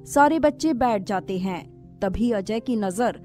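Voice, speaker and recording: A woman narrates a scripted cartoon story in a high voice. She takes her time over each sentence. Faint music runs behind her. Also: animated, takes it slowly, a little mellow.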